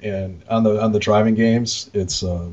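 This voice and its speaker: A man from Wisconsin with an American accent chats loosely on a podcast. His voice sits fairly low and sounds husky, and the audio is a bit muffled. He rambles informally and swears mildly. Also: fairly even intonation, normal speed, darkish tone. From Wisconsin, United States. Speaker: podcast co-host and arcade worker. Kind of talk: casual chat.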